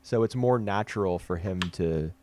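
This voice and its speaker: Low-voiced man chatting about anime. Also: moderate pace, dark tone, a little hiss or hum. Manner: chatty, analytical, frequent fillers and restarts. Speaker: podcast co-host. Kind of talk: casual anime discussion.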